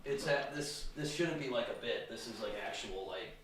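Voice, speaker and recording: A man's voice: mid-range voice, lively intonation, fairly quick, a bit bright, poor audio. A man talking casually as a co-host on a podcast. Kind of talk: casual chat.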